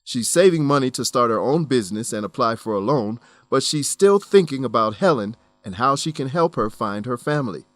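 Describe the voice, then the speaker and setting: A man presents an educational radio drama with scripted narration. His pitch is average for a man, and the sound is close and dry. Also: animated, brisk, hardly any pauses, no echo. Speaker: narrator and English lesson presenter.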